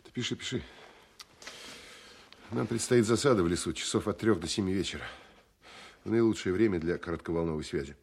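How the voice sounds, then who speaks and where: A tough, bitter counterintelligence field officer in a radio drama. His voice sits fairly low, and he speaks in terse, blunt, colloquial phrases. There is some noise on the archival recording. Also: fairly quick, gravelly, pauses often. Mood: matter-of-fact. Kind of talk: Russian radio drama acting.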